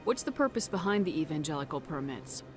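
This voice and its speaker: A woman's voice: deep voice, very animated, quick pace. A woman playing a composed, assertive military hero in a video game, speaking firmly and directly. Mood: diplomatic. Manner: direct, firm. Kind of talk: video game character acting. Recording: game music behind the voice.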